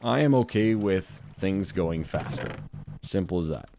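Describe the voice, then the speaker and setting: A young man with a deep, low voice talks his viewers through a modded Minecraft playthrough in a relaxed, chatty way. His throat sounds a little dry and scratchy. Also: a little slow. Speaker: gaming video host. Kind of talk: casual Minecraft modpack commentary.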